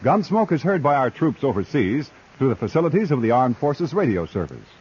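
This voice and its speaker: An American man announces in formal 1950s radio style. His voice is husky and medium in pitch with lively swings, heard on a slightly muffled old recording. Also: brisk.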